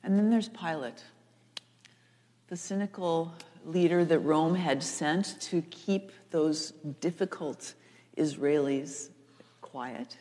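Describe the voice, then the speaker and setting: An older woman pastor delivers a sermon in a slightly low voice. She speaks slowly and deliberately, repeating phrases for emphasis, and her words ring in a large, reverberant church. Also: warm tone. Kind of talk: co-preached sermon, storytelling delivery. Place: large church sanctuary, seated at front. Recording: echoey church hall, long reverb.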